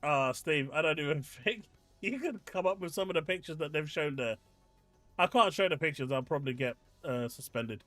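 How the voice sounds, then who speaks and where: English male streamer with a high voice, incredulous and ranting at viewers, faint music playing under him. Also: lively intonation, normal speed, a bit bright, no echo. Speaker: streamer host reading live chat. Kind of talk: casual live-stream chat. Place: home desk setup.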